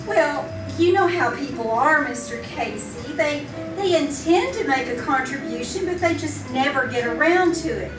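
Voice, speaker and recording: A woman's voice: sits high, expressive, moderate pace, few pauses. Young secretary, female, played by an actress: sweet, fluttery, stammering radio-drama ingénue, distant from the mic over music. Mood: eager. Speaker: amateur radio troupe actor. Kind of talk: old-time radio drama acting, live stage performance. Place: small theater, live on stage. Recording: roomy theater stage, distant microphone.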